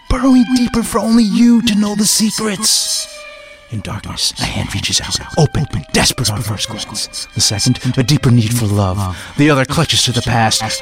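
A man playing a brooding, cryptic voice of darkness, reciting spoken-word poetry in an intense, theatrical manner. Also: a little high. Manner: theatrical, swooping between hushed and heightened. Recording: loud music under the voice.

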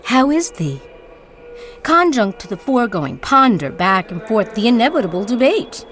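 A woman performing a dramatic spoken-word monologue as narrator, with theatrical, deliberate, incantatory phrasing. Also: a little high, sing-song, fairly bright tone, faint music behind.

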